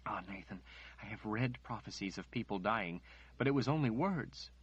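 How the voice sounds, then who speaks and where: A man's voice: fairly low pitch, expressive, moderate pace, slightly rough. A man voicing a grieving, tearful young woman, a sister who pleads with a prophet. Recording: dull lo-fi recording.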